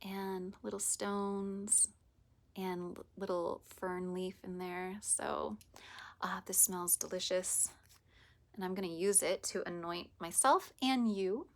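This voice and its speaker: A woman with a raspy, hoarse voice after a long day, talking softly and meandering into whispers as she runs a casual spiritual vlog. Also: lowish voice, fairly even intonation, slow pace. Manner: soft, meandering, often drops to a whisper. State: hoarse from teaching all day. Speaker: reiki practitioner.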